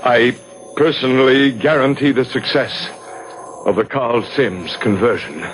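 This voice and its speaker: A man playing a smooth, assured leader of a secretive club in a 1970s radio drama. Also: mid-range voice, unhurried, soft background music, lightly acted. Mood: formal, solemn. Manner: reassuring, polished, formal when addressing superiors.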